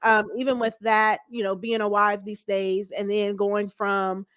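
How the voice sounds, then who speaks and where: A woman's voice: mid-range voice, level tone, a little slow, fairly bright tone, smooth, dry recording. Brief: American woman, forties, candid conversational guest, tells stories with quoted self-talk, dry sound. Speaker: guest, pastor's wife. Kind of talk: casual interview chat.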